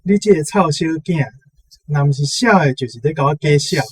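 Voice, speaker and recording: A man's voice: on the high side, a little fast, slightly rough. A man with a Taiwanese accent giving example sentences as a language teacher.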